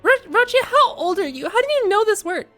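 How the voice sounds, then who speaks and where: Very high-pitched woman, playful game streamer. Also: a little fast, faint music behind. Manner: playful, exclaiming, expressive. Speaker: streamer reacting to a video game story. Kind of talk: casual live reaction commentary.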